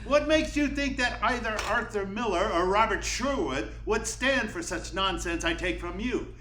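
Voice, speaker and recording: Radio play: actor as a caustic, put-upon male writer, highly expressive and exasperated, recorded in a reverberant room. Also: moderate pace. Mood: indignant. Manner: sardonic, indignant. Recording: echoey room with background noise.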